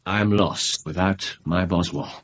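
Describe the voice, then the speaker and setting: An English actor playing a brisk, aloof Victorian detective in a radio drama, speaking precisely and with self-assurance. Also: male voice, deep voice, fairly bright tone, exaggerated voice.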